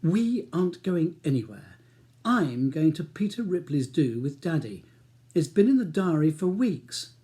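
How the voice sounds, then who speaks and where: A man's voice: on the high side, big pitch swings, a little slow, dark tone, pitched above the performer's own voice. A man reading an audiobook, voicing a cold, scornful upper-class wife who speaks in a beautifully cutting way. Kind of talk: audiobook character dialogue.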